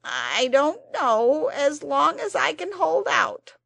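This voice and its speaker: A woman voicing a peevish squeaky sand creature. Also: sing-song, slow, gravelly, fairly bright tone, no room sound, full character voice. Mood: grudging. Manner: peevish complaining, squeaky when upset. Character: grumpy, self-pitying male sand fairy creature. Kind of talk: storybook character voice.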